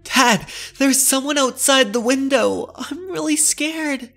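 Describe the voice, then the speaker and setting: A man voicing a frightened teenage girl in a horror manga dub, anxious, breathy and pleading. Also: extremely high pitch, takes it slowly, fairly bright tone, soft background music, voice pushed up, full character voice. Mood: scared, pleading.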